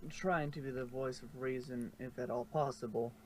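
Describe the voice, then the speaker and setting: Halting male story narrator, noisy recording. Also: medium pitch, fairly even intonation, a little mellow. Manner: halting, stumbles and corrects himself. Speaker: narrator of a fan fiction reading. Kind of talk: amateur story reading. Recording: noisy amateur home recording.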